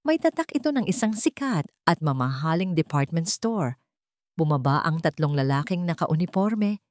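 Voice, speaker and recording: A woman's voice: low-pitched, big pitch swings, normal speed, a little mellow, no echo, studio quality. A woman narrating a romance story in an emotive, dramatic, first-person storytelling manner.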